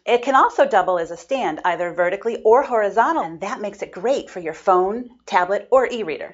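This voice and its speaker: A woman narrating a scripted product commercial in a smooth, even sales delivery. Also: mid-range voice. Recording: muffled audio.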